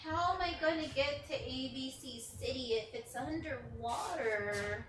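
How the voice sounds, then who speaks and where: A woman's voice: high-pitched, measured pace. A woman playing an earnest, curious, plucky little puppet creature in a theatrical play reading, with a childlike, emphatic delivery. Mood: excited, alarmed. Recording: roomy, distant mic with faint noise.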